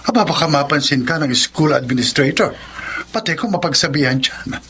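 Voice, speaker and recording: Stern Filipino schoolteacher, slightly high. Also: male voice, animated, normal speed, a bit bright. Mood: stern, reproachful. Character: stern, nagging male school teacher. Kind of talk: Filipino radio drama acting. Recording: clear background noise and ambience.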